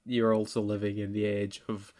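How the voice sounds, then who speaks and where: A man's voice: fairly low pitch, monotone, normal speed, a little mellow. A man co-hosting a talk show, discussing issues casually in colloquial language and voicing imagined quotes.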